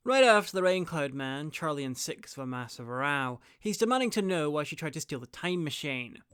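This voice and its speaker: Clear-voiced man narrating a review. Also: on the high side, very animated, brisk, fairly bright tone, no room sound, clean studio sound. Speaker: reviewer, video host.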